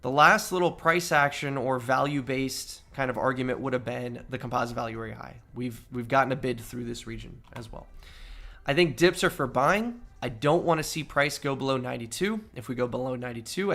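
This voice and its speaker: Gravelly man talking through charts. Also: medium pitch, steady tone, brisk, pushed and bright. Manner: explanatory, often adds "right?". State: getting over a cold, slightly nasal. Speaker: crypto trading livestream host. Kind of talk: casual chart analysis with chat.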